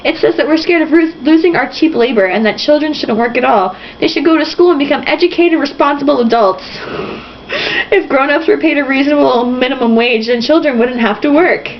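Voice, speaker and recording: A woman's voice: sits high, a little fast, voice pushed up. A woman reading a storybook aloud as an earnest, idealistic young sister who exclaims with feeling. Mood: earnest, moved. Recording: dull lo-fi sound, faint noise.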